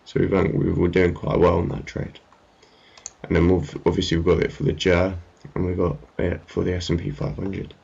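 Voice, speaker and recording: Very deep, creaky, hesitant man. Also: monotone, normal speed, darkish tone, dull audio. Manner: hesitant, rambling. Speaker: trading analyst presenting his market update. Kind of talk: casual unscripted screen commentary. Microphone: amateur screen-recording voice-over.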